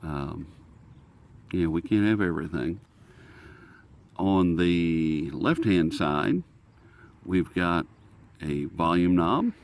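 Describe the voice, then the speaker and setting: Low-pitched American man, casually thinking aloud. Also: animated, vocal fry. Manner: hesitant, halting, thinking aloud. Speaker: host of a radio review video. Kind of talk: casual, unscripted product review. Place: outdoors.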